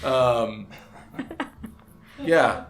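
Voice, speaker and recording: A man's voice: mid-range voice, crowd noise. Joking, foul-mouthed male podcast host. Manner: profane, joking, quick to laugh. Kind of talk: casual chat.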